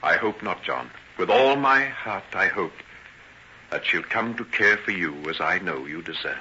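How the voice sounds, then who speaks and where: An actor playing a tormented, self-sacrificing gentleman doctor in old-time radio drama, speaking in earnest, formal, theatrical phrases. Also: sits low, lively intonation, unhurried, heavily acted. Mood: solemn, sincere. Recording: dull, noisy old radio recording.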